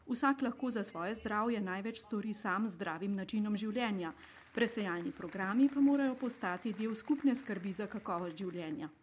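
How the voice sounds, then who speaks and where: A woman reading scripted news narration in a smooth, even way. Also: medium pitch, moderate pace, a little hiss or hum.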